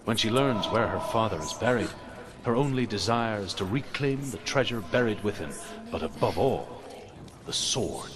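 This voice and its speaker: An actor playing a grave storyteller recounting Norse legends in a game, with dramatic delivery. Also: male voice, on the low side, lively intonation, normal speed, background noise, natural voice. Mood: solemn, foreboding.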